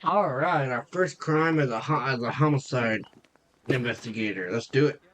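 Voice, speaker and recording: A man's voice: mid-range voice, moderate pace, a bit bright, slight background noise. A man hosting a casual gaming commentary at home, rambling and correcting himself, his nose stuffed up. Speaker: gaming channel host. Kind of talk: casual let's-play commentary. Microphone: desktop recording setup.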